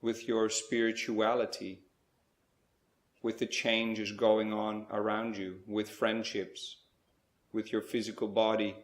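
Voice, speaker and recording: Low, even-toned man guiding a meditation, roomy echo. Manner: measured delivery in short phrases with pauses. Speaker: guided meditation leader. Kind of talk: slow guided spiritual meditation.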